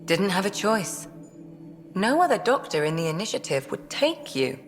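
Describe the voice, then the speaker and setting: An actress playing a no-nonsense but caring ship's doctor in a video game, with dry delivery. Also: female voice, medium pitch, very animated, normal speed, in a large hall, faint music behind, lightly acted. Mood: dry, affectionate.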